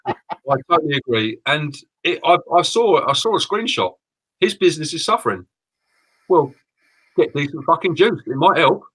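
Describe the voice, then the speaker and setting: A man co-hosting a live online show, backing up his partner with a husky, slightly raised voice. He often repeats words and trips over his sentences as he rants. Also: fairly high pitch, expressive, normal speed, a bit bright, rough recording. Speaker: co-host, business owner. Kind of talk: casual ranting on a live stream.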